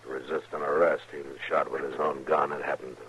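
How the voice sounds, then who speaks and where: A man playing a grave, steady American frontier marshal in 1950s radio western style, speaking in measured, hesitant phrases. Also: very deep voice, breathy edge, heavily acted. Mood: somber, regretful. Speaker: radio drama actor. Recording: muffled old 1950s radio recording.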